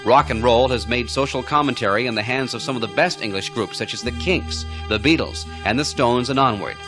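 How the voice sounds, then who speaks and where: A man's voice: medium pitch, brisk. A man reading scripted narration for a radio documentary about music, as its presenter.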